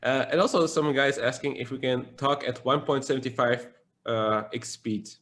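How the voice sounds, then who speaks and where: A man's voice: medium pitch, normal speed. A man from Belgium with a Belgian accent, co-hosting a casual live talk show and reading out questions from the chat. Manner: relaxed, reads chat questions aloud. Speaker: podcast co-host.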